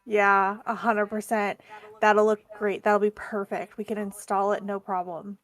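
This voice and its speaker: A woman's voice: mid-range voice, little pitch movement, unhurried, no echo. A woman playing a tabletop roleplaying game, chatting casually as she speaks for her character.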